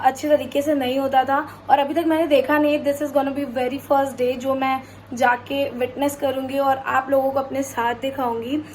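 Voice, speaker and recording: A woman's voice: sits high, slight background noise, rough recording. A young woman vlogger opening her video in a chatty way, mixing Hindi and English. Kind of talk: vlog intro to camera.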